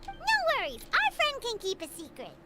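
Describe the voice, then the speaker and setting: A woman voicing a chirpy, childlike little fairy companion with a squeaky voice in a video game. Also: wildly swooping pitch, moderate pace, background noise, heavily acted. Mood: friendly. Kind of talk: video game character dialogue. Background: loud background music.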